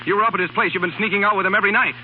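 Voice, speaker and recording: An actor playing a proud, wounded young American husband, bitter and clipped, in 1930s radio drama style. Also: male voice, high-pitched, fairly even intonation, racing, soft background music, natural voice. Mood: jealous, accusing. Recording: muffled, noisy 1930s radio broadcast.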